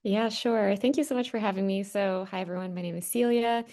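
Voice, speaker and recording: A woman's voice: medium pitch, brisk, a little mellow. A young Canadian woman with a Canadian accent, a guest chatting casually on a video call with lots of "kind of" fillers. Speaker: guest panelist. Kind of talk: casual webinar talk.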